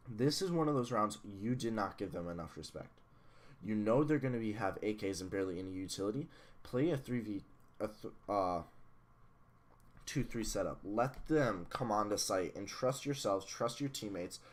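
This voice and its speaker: A man reviewing a match replay as a coach, blunt and analytical, a little hoarse from losing his voice. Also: lowish voice. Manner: analytical, blunt, swears at software glitches. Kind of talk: casual match review commentary.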